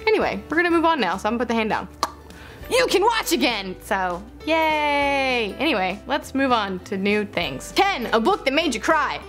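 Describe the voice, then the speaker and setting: A woman's voice: fairly high pitch, big pitch swings, moderate pace, fairly bright tone, soft background music. A woman chatting casually as a book vlogger, playful and self-interrupting, gushing and repeating words. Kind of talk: casual chatty vlog to camera.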